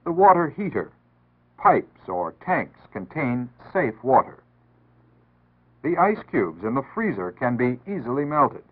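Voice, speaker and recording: A man's voice: mid-range voice, big pitch swings, husky. Tinny 1960s American male announcer. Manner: earnest, instructive, measured formal delivery. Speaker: public service announcer. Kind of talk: 1960s scripted civil defense announcement narration. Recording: tinny band-limited 1960s radio-style archive audio.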